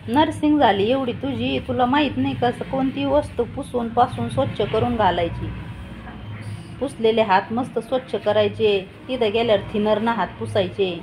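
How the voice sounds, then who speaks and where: A woman's voice: on the high side, moderate pace. A middle-aged woman speaks. She talks casually, chiding and instructing like a mother running her household. The home recording has light background noise with some animal sounds. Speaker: family vlogger, mother. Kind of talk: casual household chat and vlog narration.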